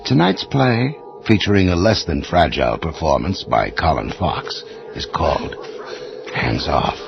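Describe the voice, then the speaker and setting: An actor playing a sinister, droll horror host, giving measured, formal introductions on a radio anthology. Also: male voice, low-pitched, big pitch swings, vocal fry, muffled recording, exaggerated voice. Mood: ominous. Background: music.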